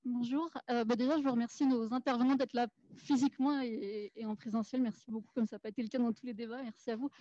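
A woman's voice: on the high side, fairly even intonation, fast talker, few pauses, warm tone, no echo. A woman from the Paris suburbs making an earnest, articulate argument from the audience at a debate. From Paris suburbs, France. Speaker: urban planner and activist in the audience. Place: small crowded café room. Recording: dull, lo-fi event recording.

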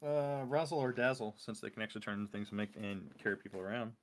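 A man's voice: fairly low pitch, fast, slight background noise. A man chatting casually as co-host on a livestream. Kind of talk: casual chat.

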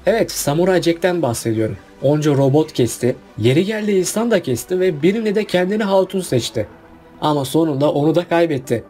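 A man's voice: on the high side, expressive, fairly quick, dark tone, soft background music. A man narrating a casual countdown video about cartoon finales in a chatty voice-over.

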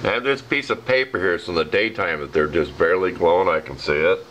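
Low, rough-voiced American man. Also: fast talker, fairly bright tone. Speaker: hobbyist demonstrating a homemade project. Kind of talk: casual explanatory demo. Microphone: handheld camera, speaker behind it. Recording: noisy home video with ambient sound.

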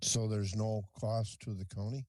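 A man's voice: fairly low pitch, fairly even intonation, normal speed, noisy background. A man on a county board asking questions at a public meeting.

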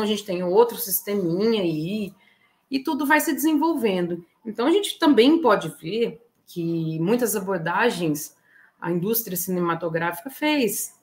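A middle-aged Brazilian woman giving an informal online lecture, explaining step by step with frequent check-in tags. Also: medium pitch. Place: indoor room, online talk. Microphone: video call.

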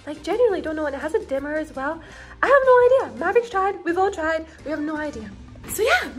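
A young woman in her twenties giving a casual, giggly house tour to her viewers, chatting into a phone held at arm's length in a bedroom. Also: extremely high pitch. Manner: giggly, bubbly, lots of "like" and "you guys".

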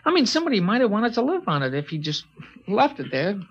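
A man's voice: high-pitched, huge pitch swings, quick pace, husky, full character voice. An earnest teenage boy on radio. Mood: puzzled. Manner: plain, reflective, a little hesitant. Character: earnest teenage boy narrating the last day. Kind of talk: 1950s radio drama acting and narration. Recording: dull 1950s radio broadcast recording.

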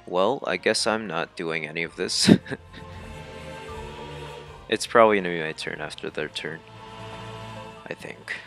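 A man's voice: deep voice, unhurried, gravelly, long pauses, fairly bright tone. A man giving casual, laid-back commentary while playing a video game. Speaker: let's-player. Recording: game music behind. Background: video game music.

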